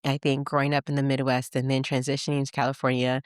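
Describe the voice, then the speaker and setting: A woman from Michigan hosting a podcast, telling childhood stories in a chatty, animated way and laughing as she goes. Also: extremely low pitch, fast, dry recording, clean studio sound. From Michigan, USA. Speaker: podcast host. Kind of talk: casual personal storytelling.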